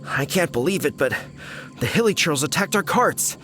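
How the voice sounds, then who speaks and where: A man's voice: on the high side, lively intonation, moderate pace, background noise, close to an everyday voice. A man voicing a fretful cart driver. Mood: distressed. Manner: fretful and complaining. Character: flustered fruit-cart delivery man, indignant. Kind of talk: video game voice acting. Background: game music.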